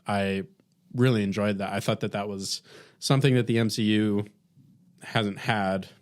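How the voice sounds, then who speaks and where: Lower-voiced man, halting podcast chat. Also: fairly even intonation. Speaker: podcast co-host. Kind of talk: casual TV review chat.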